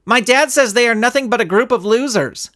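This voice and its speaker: A man's voice: extremely high pitch, a little fast, bright, forceful tone, pitched above the performer's own voice. A man voicing a fearless, blunt young schoolgirl with a scornful, matter-of-fact manner in a storybook. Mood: scornful, defiant. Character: blunt, fearless skinny schoolgirl in thick glasses.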